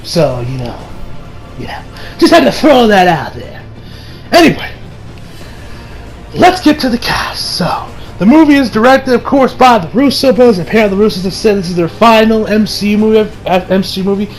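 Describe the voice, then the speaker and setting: A young man with a high-pitched voice gives a casual movie review. He rambles, circling back to restate his points. Music plays clearly behind him. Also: lively intonation, moderate pace. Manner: rambling, repeats and rephrases himself. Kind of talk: casual spoken review over gameplay.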